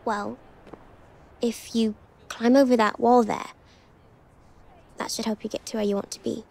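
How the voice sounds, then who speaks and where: A woman's voice: a little high, level tone, unhurried, vocal fry, warm tone. A woman playing a spirited, helpful young girl in a fantasy video game, speaking earnestly and eagerly.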